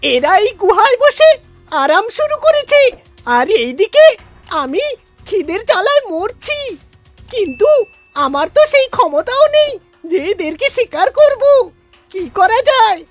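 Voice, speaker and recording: A woman's voice: very high voice, very animated, a little slow, dry recording, full character voice. A woman voicing a sly, hungry, scheming cartoon fox that mutters and hums to itself in an exaggerated way. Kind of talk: cartoon voice acting. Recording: faint background music.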